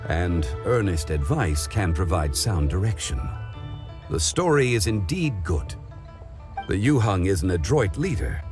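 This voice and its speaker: An actor voicing a calm, wise male storyteller in a game, speaking in measured, formal phrases. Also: low voice. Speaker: game character. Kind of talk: game cutscene voice acting.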